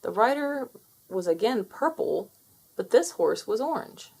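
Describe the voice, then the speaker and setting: Storybook narrator, woman, inviting tone, lively and slightly gravelly voice. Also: medium pitch, measured pace. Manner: inviting, asks listeners to guess. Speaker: storybook reader. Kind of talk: children's read-aloud narration.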